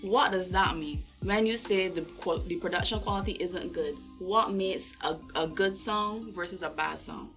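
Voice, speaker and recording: A woman's voice: lowish voice, moderate pace, few pauses, fairly bright tone, faint music behind. A woman from Barbados hosting an interview, listening attentively and murmuring agreement often. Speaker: host.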